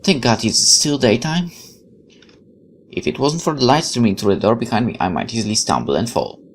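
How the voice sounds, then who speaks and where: Let's play commentator, lively swinging pitch, expressive, reads game text aloud, exclaims and questions. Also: fairly quick, slight background noise. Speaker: gaming YouTuber.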